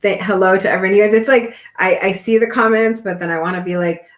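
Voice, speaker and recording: A woman's voice: mid-range voice, steady tone, fast, roomy sound. A woman hosting an online show who talks to her guest and audience in an upbeat, reassuring way. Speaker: host. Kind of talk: casual interview chat.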